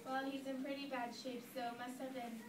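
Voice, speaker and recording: A woman's voice: sits high, little pitch movement, brisk, lightly acted. A woman voicing an eager kid puppet who blurts out wrong guesses and questions in a church puppet skit. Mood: musing. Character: eager, muddled kid puppet jumping to conclusions. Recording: echoey room from far off, loud noise.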